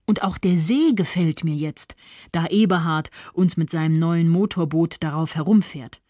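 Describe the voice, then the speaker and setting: A low, husky female voice for a conceited small-town doctor's wife in middle age. She is self-important and spiteful, gossiping and gloating through a literary radio monologue, recorded very dry and close. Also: normal speed, warm tone, no room sound. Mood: smug rapture. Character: smug, spiteful doctor's wife, opportunistic 1930s social climber.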